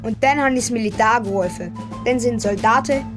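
Woman playing a boastful high-voiced young boy. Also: fairly quick, music in the background, lightly acted. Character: boastful young boy Matze, easily impressed. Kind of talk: audio story dialogue for children.

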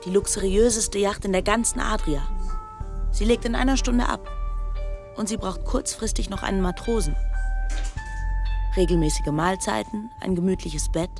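Temptress ship's cook played by a woman, mid-pitched, coaxing, flirtatious, persuasive, music underneath. Radio drama. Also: moderate pace, pauses often, lightly acted. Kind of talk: radio play acting.

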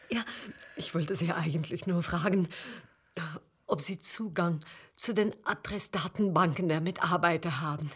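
A woman's voice: medium pitch, fairly bright tone, breathy edge, full character voice. An actress playing a scheming middle-aged cleaner and proud mother in a radio play, with a conspiratorial, theatrical manner.

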